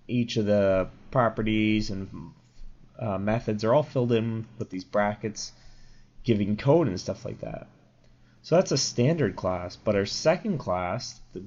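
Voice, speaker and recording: A young man with a sore, slightly crackly throat talks through code in a low voice. He rambles casually, stops to correct himself and drops in plenty of fillers. The dull, lo-fi mic takes the edge off every word. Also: a little mellow. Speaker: programming tutorial host. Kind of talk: casual screen-recorded coding tutorial.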